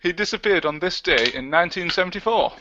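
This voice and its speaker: A man's voice: sits high, expressive, noisy background. A man hosting a casual livestream, reading out viewer comments and steering the chat. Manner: reads out viewer comments, brisk. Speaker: host. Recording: dry lo-fi call audio.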